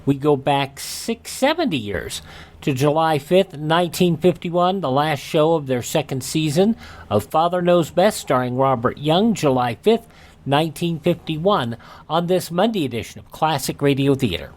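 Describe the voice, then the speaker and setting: A man's voice: fairly high pitch, lively intonation, normal speed. A man hosting a radio show in a casual, folksy, somewhat rambling and hesitant way. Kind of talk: casual radio hosting and personal product pitch.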